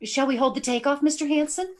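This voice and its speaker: High-voiced woman as concerned control-room assistant. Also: brisk, natural voice. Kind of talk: radio drama acting.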